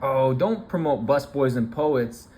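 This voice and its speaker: A man's voice: mid-range voice, expressive, measured pace, darkish tone. A man in the middle of his range talks loosely and offhandedly, reacting to posts as he scrolls. His delivery is unpolished and chatty. A faint background noise runs under his voice. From Alaska, United States. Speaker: streamer host. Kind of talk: casual commentary on screen content.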